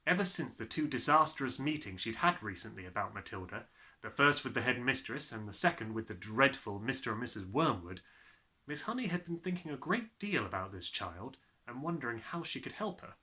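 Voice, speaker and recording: A man narrating a children's book aloud in an expressive storytelling style. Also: mid-range voice, a little fast. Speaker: audiobook narrator.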